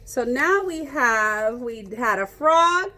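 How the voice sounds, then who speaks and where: A woman reading a picture book aloud like a teacher, pausing to explain and ask young children questions. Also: sits high, animated, sharp tone. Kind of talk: interactive children's read-aloud.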